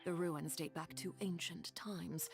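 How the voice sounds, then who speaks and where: A woman playing a composed, commanding leader of a family of orphans in a video game, speaking formally and with measured, precise words. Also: on the low side, steady tone. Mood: grave, composed. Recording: music behind the voice.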